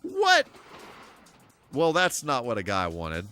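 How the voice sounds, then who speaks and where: A man's voice: medium pitch, wildly swooping pitch, slow pace, bright, forceful tone. An American man giving casual, rambling, good-humored commentary on a gaming livestream. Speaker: live streamer. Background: game music.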